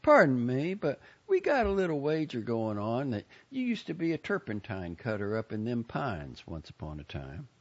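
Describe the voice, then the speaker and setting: A man's voice: medium pitch, wildly swooping pitch, moderate pace, few pauses, lo-fi sound, voice pushed up. A man voicing a chatty, nosy, pious older Southern woman in an audiobook story, gushing and self-satisfied in a folksy rambling way. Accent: American South.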